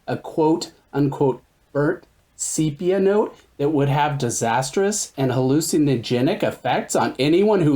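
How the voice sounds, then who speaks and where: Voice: mid-range voice, darkish tone, lightly acted. A performer playing a quizzing character in a comic radio play, recapping a wild story with questions.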